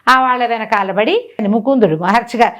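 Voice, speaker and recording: A woman's voice: on the high side, normal speed. A woman tells a folk tale conversationally, asking rhetorical questions and acting out the dialogue. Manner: animated retelling with rhetorical questions and acted-out dialogue. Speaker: storyteller.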